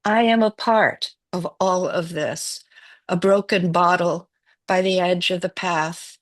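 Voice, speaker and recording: A woman from Wales whose first language is Welsh, giving a poetry reading in a measured, reflective way. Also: lowish voice, fairly even intonation, no echo. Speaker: poet.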